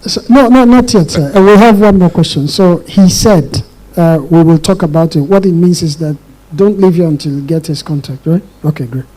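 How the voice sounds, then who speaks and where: A man moderates audience questions at a live event. His voice is high for a man, with a mellow tone. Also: normal speed, few pauses, poor audio.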